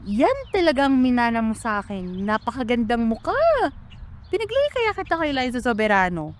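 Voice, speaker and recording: A woman's voice: a little high, big pitch swings, moderate pace. A woman with a Filipino accent playing a doting but careless, teasing mother in a radio drama. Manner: chatty, playful, nagging. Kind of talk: radio drama acting in casual family banter.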